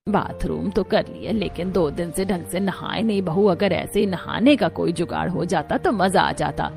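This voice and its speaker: A woman's voice: lowish voice, moderate pace, vocal fry, music in the background. A woman voicing an older Indian mother-in-law in a Hindi cartoon family story. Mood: grumbling.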